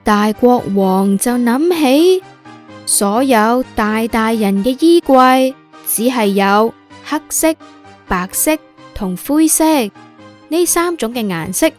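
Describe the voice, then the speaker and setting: Slow, high-voiced Hong Kong woman storyteller. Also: animated, faint music behind. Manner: simple, explanatory storytelling for children. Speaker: narrator of a children's story podcast. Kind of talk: scripted children's story narration.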